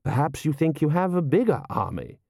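A man voicing a proud, contemptuous prince, haughty and measured, in a storybook reading. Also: a little high, big pitch swings, vocal fry, dark tone, no room sound, studio quality, pitched above the performer's own voice, exaggerated voice. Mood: scornful. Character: proud, imperious, contemptuous foreign male prince.

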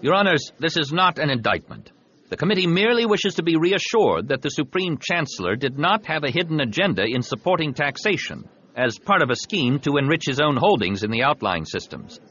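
Man narrator as insistent senator, audiobook, formal oratory, deliberate, lifted pitch, slightly muffled. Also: very animated, fairly bright tone, husky, full character voice. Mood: formal insistence. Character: formal, insistent senator addressing a judicial bench.

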